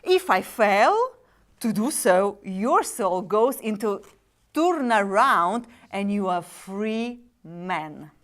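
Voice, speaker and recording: A woman's voice: medium pitch, animated, takes it slowly. A woman playing a wisecracking demon sub-agent who deals in souls with breezy, mocking sales patter in a dramatic script reading. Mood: breezy, condescending.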